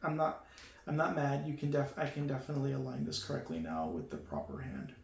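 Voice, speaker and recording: A man's voice: medium pitch, a little fast, gravelly. Gushing man unboxing toys, echoey room. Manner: enthusiastic, gushing, with frequent fillers. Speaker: toy reviewer. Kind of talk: casual unboxing commentary. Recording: echoey room with faint hum.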